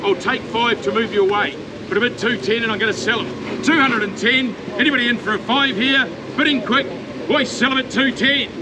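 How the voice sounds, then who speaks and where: A man with a New Zealand accent working as a livestock auctioneer, rattling off bids in a rapid, repetitive chant and coaxing buyers at an outdoor saleyard. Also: very high-pitched. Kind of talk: auction bid calling. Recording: loud crowd and livestock noise at an outdoor sale.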